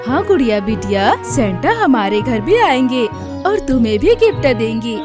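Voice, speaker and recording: A woman's voice: on the high side, very animated, moderate pace. A woman voicing a poor, devoted father bird, earnest and reassuring, in a Hindi cartoon story. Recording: music behind the voice.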